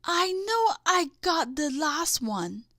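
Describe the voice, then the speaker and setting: A woman's voice: very high voice, sing-song, fairly bright tone, no room sound, studio quality, voice pushed up, heavily acted. A woman reading the lines of a weary, grumbling teenage boy in a children's storybook. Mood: baffled. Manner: muttering, sighing, drawn-out.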